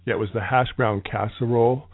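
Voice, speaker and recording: A man's voice: medium pitch, steady tone, a little fast, breathy edge. A man co-hosting a travel show, talking in a dry, joking, matter-of-fact way. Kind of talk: casual chat. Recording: tinny phone-like line.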